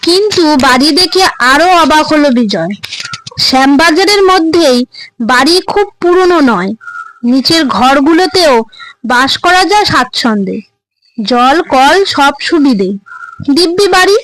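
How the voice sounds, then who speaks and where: A woman's voice: high voice, expressive, moderate pace, fairly bright tone, rough recording. A woman narrator reading a scripted horror story for a cartoon.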